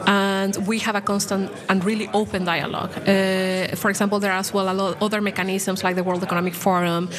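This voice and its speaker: A woman's voice: medium pitch, little pitch movement, brisk, hardly any pauses, a crowd in the background. A woman in her forties from Latin America, a bank program director speaking as an interview guest, passionate and full of restarts and fillers. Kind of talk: podcast interview.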